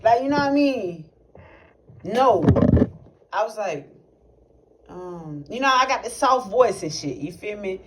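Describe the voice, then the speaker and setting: A man's voice: high-pitched, measured pace, pauses often. Rambling young man telling a wild story. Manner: rambling, animated, profane, many fillers. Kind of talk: casual storytelling testimony. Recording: noisy recording with clicks.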